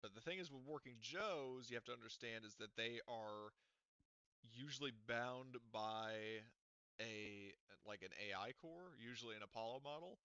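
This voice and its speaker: A man's voice: mid-range voice, normal speed, pushed and bright, smooth, no echo. A man running a tabletop roleplaying game as game master, talking casually in rambling runs full of fillers, restarts and the odd swear word. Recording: dull lo-fi recording, dry.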